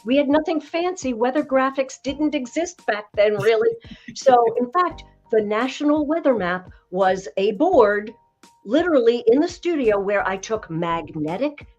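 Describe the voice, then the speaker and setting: A woman in her sixties, a voice coach and former TV newswoman, telling stories in an interview in a measured, emphatic way. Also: on the high side, lively intonation, darkish tone, muffled recording, faint music behind. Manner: measured, emphatic, tells anecdotes. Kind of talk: conversational interview storytelling.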